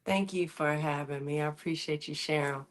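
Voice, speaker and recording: A woman, fairly low voice, telling her story in a loose, candid way with some slang, sounding frank and conversational. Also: normal speed. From Michigan, USA. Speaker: guest, singer-songwriter. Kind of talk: casual interview chat.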